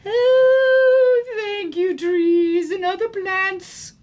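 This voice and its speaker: A woman's voice: very high-pitched, fairly even intonation, extremely slow, darkish tone, voice pushed up, heavily acted. A woman voicing a naive young storybook maiden who gushes with wonder at nature. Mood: gushing gratitude.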